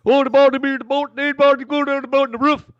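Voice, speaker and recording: A man voicing a rowdy, joking Newfoundland man in an exaggerated high sing-song drawl, recorded with a dull, dry sound. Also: flat delivery, quick pace, no room sound. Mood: teasing. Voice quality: exaggerated drawl with high-pitched teasing singsong.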